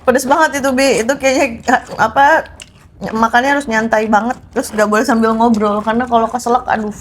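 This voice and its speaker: A woman's voice: fairly high pitch, steady tone, a little fast, slight background noise. A young woman from Indonesia co-hosting, chatting casually and laughing easily as she speaks to viewers. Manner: chatty, giggly, talks to viewers. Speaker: co-host. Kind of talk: casual chat.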